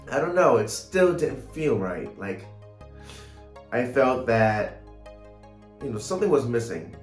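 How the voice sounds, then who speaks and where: Slow-talking man in a roomy space. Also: mid-range voice. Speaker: writer and vlogger. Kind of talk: casual video vlog to camera. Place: small home room, indoors. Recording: roomy echo, light music behind.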